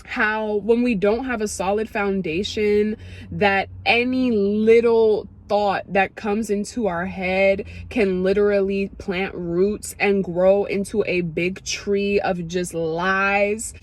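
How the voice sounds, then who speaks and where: A young woman from Ethiopia, talking to camera in a casual, emotional way, full of fillers and quick asides as she gets excited. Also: mid-range voice. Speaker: vlogger.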